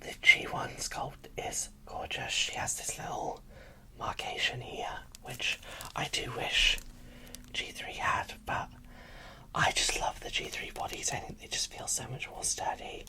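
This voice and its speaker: A host speaking in a breathy whisper into a close microphone, giving casual, enthusiastic ASMR unboxing commentary. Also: unhurried, pushed and bright, poor audio.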